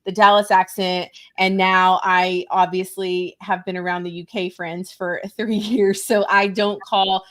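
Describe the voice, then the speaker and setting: A woman's voice: mid-range voice, flat delivery, unhurried, few pauses, fairly bright tone. A woman from West Texas with a Texan accent, hosting a live webinar over a video call in a chatty, upbeat way. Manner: chatty and upbeat, laughs often. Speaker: host.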